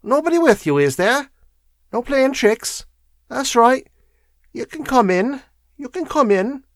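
Seeking a man to play a sly, coarse slum woman for a storybook: high, wheezy, wheedling, wildly swooping. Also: very animated, unhurried, dry recording, studio quality, heavily acted. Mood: sly, teasing. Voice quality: wheezy, chuckling. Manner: wheedling, coarse, addresses listener familiarly. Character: bent, wheezy, cunning middle-aged slum woman.

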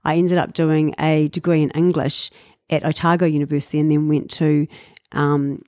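A New Zealand woman in her fifties, telling her life story in a relaxed interview, reflective with frequent fillers and light laughs. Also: low-pitched, moderate pace, dry recording, studio quality. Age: 50s. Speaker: guest. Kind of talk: casual interview chat.

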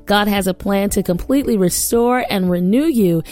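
A woman hosting a daily devotional podcast, preaching and praying with fervor, her voice hoarse after a weekend of ministry, recorded in a small studio. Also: mid-range voice, moderate pace. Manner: warm fervent preaching and prayer.

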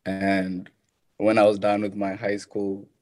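A low-pitched young man, speaking in an extremely flat, even tone. He answers softly and haltingly, and the sound is dry and close. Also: no room sound. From Kenya. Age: young adult. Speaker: college runner being interviewed.

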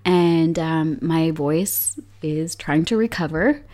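Young woman podcast host, hoarse and cracking, really low voice, chatty and upbeat. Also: slow pace, mellow. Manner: upbeat, chatty, laughs often. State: hoarse from illness. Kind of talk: solo podcast talk.

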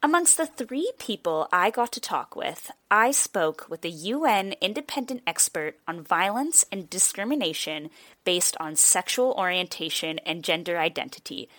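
A young adult woman narrating a reported news magazine episode in a clear, even newsreader manner. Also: fairly low pitch, normal speed, dry recording. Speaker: reporter and host. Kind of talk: scripted narration.

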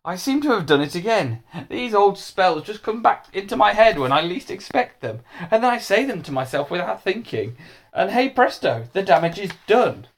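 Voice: mid-range voice, very animated, normal speed, pitched above the performer's own voice. A storyteller voicing a flustered, well-meaning male magician schoolteacher in a children's storybook, fussy and exclaiming.

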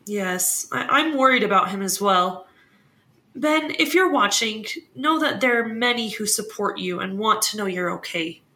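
A woman's voice: medium pitch, animated, moderate pace, bright, forceful tone. A woman playing a poised livestream talk-show host in a fiction podcast, with a polished presenter's manner. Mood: concerned. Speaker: host taking calls.

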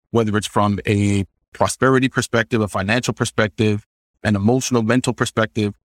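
A man in a documentary interview, talking quickly and thinking aloud. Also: fairly low pitch, no room sound, clean studio sound.

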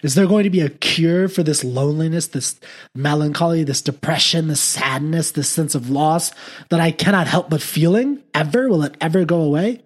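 A man voicing a grief-stricken lonely man who pleads and rages in a theatrical, husky voice. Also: moderate pace, close to an everyday voice. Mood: anguished pleading. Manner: pleading, theatrical, raises pitch and volume.

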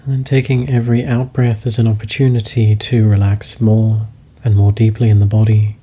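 A man leading a guided meditation, speaking slowly and calmly in soft instructions. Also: lowish voice, husky. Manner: slow, calm, soft-spoken instructions.

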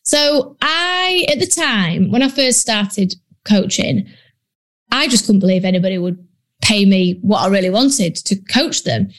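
A woman's voice: mid-range voice, expressive, unhurried. A woman with a Yorkshire accent, a business coach talking bluntly and chattily in a casual solo podcast, with plenty of ums. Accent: Yorkshire English. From Yorkshire, northern England. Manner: blunt, chatty, frequent ums and British slang.

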